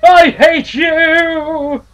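A man's voice: very high-pitched, flat delivery, extremely slow, a bit bright, voice pushed up, full character voice. A man doing a pompous, touchy steam engine. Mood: furious. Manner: prim, fussy, plummy phrasing.

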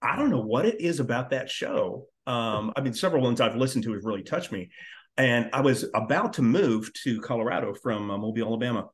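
Effusive middle-aged man hosting, mid-pitched. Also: animated, brisk. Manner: effusive, earnest, repeats words. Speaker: podcast host, interviewer. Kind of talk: casual, conversational hosting. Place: living room at home, on a video call.

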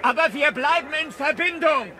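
A man's voice: very high voice, lively intonation, normal speed, bright, forceful tone, full character voice. An actor in a German radio thriller playing an agitated male investigator calling after someone.

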